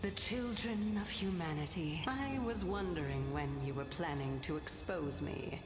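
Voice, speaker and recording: Game sorceress: composed, cryptic, teasing, formal, slow delivery with echo over music. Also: female voice, low voice. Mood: sly, composed. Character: composed, cryptic woman posing as a mythic sorceress. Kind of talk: video game story acting. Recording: echoey, under loud music. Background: loud game music.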